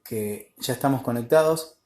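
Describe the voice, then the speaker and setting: A man's voice: mid-range voice, darkish tone. Argentine man hosting in a roomy echo. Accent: Argentine Spanish. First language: Spanish. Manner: halting, searching for English words. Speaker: interviewer. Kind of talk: casual live interview chat.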